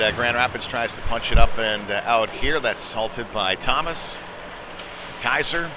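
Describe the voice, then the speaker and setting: Man, live hockey commentary, mid-range voice, conversational delivery, clear rink noise behind. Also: medium pitch. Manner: conversational, rising to excited calls on big plays. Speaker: former goaltender.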